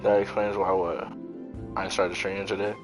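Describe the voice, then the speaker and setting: Low voiced guy streaming games, rambly sweary slangy chat, music playing behind. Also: little pitch movement, normal speed. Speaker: live streamer. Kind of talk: casual gaming livestream chat. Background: video game music.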